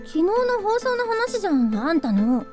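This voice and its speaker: A cute, pert young visual novel heroine. Her voice is very high and swings expressively, with a teasing, questioning manner. Game music plays clearly behind her. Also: very animated, fast, darkish tone. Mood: puzzled, annoyed. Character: cute, teasing anime young woman, a student. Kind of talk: Japanese visual novel voice acting.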